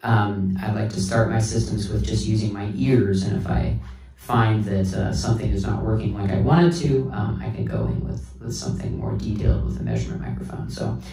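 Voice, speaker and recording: A man with a lowish voice and plenty of fry explains things casually, step by step. He is unhurried, like an instructor working as he talks. The sound is roomy, picked up at a distance from the mic. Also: lively intonation, crowd noise. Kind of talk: casual hands-on tutorial talk. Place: indoor room with sound system.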